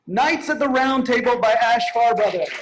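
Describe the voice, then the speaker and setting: A man's voice: very high-pitched, normal speed. A man presenting an award with informal stage banter. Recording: noisy live stage mic with clicks.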